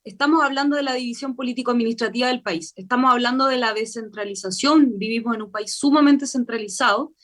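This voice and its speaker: A Chilean woman, a convention member, explaining her work fluently in a radio interview, with frequent filler pauses. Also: on the high side, a bit bright. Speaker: constitutional convention member, guest.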